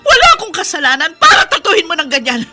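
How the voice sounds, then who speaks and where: A woman's voice: very high voice, huge pitch swings, fast, pushed and bright, full character voice. An actress playing a wronged wife and mother in a Filipino radio drama, melodramatic and pleading, with a Filipino accent. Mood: anguished, defiant. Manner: tearful, melodramatic delivery.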